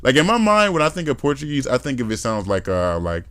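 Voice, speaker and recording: A man's voice: mid-range voice, fast, smooth, dry recording. A man hosting a podcast, chatting casually and acting out accents as he rambles through his ideas. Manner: lively, acts out accents, rambling. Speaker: podcast host. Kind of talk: casual chat.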